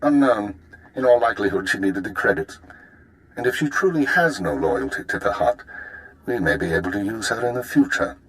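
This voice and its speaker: An actor playing a composed, exacting spymaster who gives measured, precise mission briefings in a video game. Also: male voice, fairly low pitch, expressive, fairly bright tone, low-quality recording. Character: composed male intelligence handler, formal and exacting.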